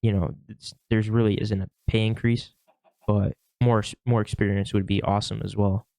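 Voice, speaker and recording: A sick young man with a worn, gravelly voice, rambling casually through a vlog with lots of fillers and restarts. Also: fairly low pitch, level tone, moderate pace, darkish tone, no echo. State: sick, losing his voice. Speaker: vlogger; shift manager at work. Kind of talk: casual talking-to-camera vlog.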